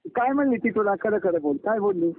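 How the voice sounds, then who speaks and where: Very high-pitched young man on phone. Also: animated, brisk. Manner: insistent, repetitive, coarse and blunt. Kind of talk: casual phone chat with a friend. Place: phone call. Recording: narrow, tinny phone call recording.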